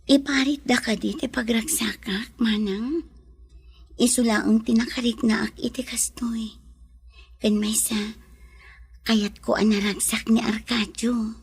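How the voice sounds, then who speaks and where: An actress playing a gentle, frail young woman in love, with an Ilocano accent and soft, pleading delivery in a radio drama. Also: fairly high pitch, fairly even intonation, measured pace, gravelly, pauses often, slightly rough, full character voice. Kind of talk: Filipino radio drama acting.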